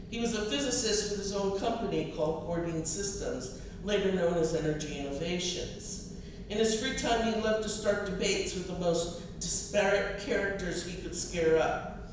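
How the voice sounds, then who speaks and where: A middle-aged American woman from the East Coast, a poet reading her own literary work in a measured, expressive way with deliberate phrasing. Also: fairly low pitch. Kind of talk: literary reading of poetry and personal essay. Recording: distant mic, echoey room, clear noise.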